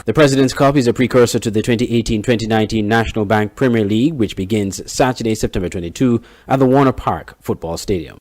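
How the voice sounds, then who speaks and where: A man, reporting sports and local news in a steady, measured read. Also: on the low side. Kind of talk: scripted news reading.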